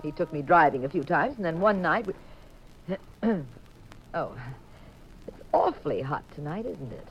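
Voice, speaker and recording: An actress playing a playful, affectionate detective's wife in a 1950s radio drama, coy and teasing. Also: female voice, low-pitched, lively intonation, measured pace, pauses often. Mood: indignant, coy. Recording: dull 1950s radio recording.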